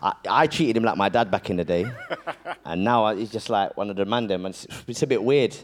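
A man's voice: lowish voice, fairly quick. A man introducing guests on stage, playful and joking, tripping over names. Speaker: event host. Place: stage before a live audience.

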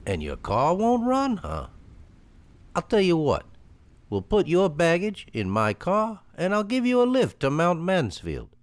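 An actor playing a smooth, menacing stranger with a folksy, falsely friendly manner in an old radio thriller. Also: male voice, high voice, wildly swooping pitch, measured pace, no echo, full character voice. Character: smooth, menacing male stranger driving a lone woman. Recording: noisy old radio drama recording.

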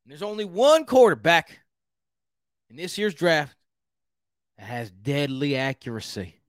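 A brash, folksy Texan hog hunter, as a man puts him on with an exaggerated drawl. The voice sits higher than his usual talk and sounds close and dry. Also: big pitch swings, a little slow, dry recording. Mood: boastful.

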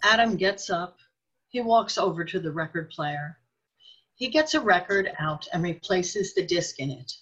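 A woman's voice: fairly low pitch, expressive, unhurried, a bit bright, husky, muffled recording. A woman narrating a scripted radio drama as its storyteller.

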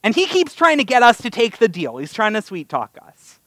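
A man's voice: high voice, huge pitch swings, a little fast, pushed and bright, no echo, clean studio sound. A man with a North American accent gives a conversational, story-driven sermon as a rabbi, with wry, self-deprecating humour. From North America (US and Canada). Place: live synagogue service.

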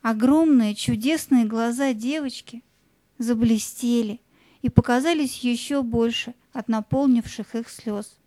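A woman narrating a scripted fairy tale as an expressive storyteller. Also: on the high side, a little slow, no echo.

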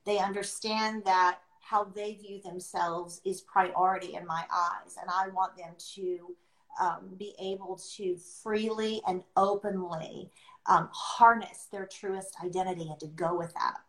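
A middle-aged woman speaking reflectively and conversationally on a livestream, earnest and deliberate, pausing as she chooses her phrases. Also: fairly low pitch, steady tone, a bit bright. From born outside the US, adopted into America. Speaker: podcast host sharing her experience as an adoptive mother. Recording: roomy, echoing sound.

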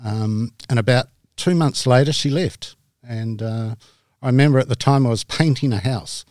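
A man's voice: mid-range voice, normal speed, a little mellow, no echo, clean studio sound. A middle-aged man with a New Zealand accent, a podcast guest telling his story in a hesitant, self-deprecating way with many ums. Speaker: guest, media director. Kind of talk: casual interview talk.

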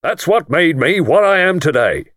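A man's voice: fairly high pitch, very animated, moderate pace, fairly bright tone, dry recording, clean studio sound, pitched above the performer's own voice. A man voicing a pompous, boastful self-made older lord who talks in a blustering, self-satisfied way.

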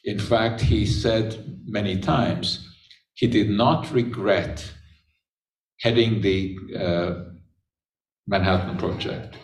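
A man giving an unscripted science talk, unhurried, with frequent pauses and filler words. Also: on the low side. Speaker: chemistry lecturer. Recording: echoey room, voice from a distance.

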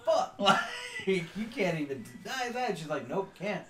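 Young guy, high animated voice, cussing and cracking up, echoey room. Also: normal speed, a crowd in the background. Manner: profane, laughing, animated joker. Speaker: podcast host. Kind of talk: casual chat.

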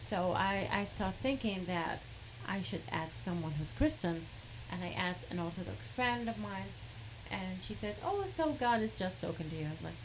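A woman with an Arabic accent who speaks haltingly, with many fillers, and laughs between sentences as she tells a personal story. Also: mid-range voice, lively intonation, background noise. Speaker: guest sharing a testimony.

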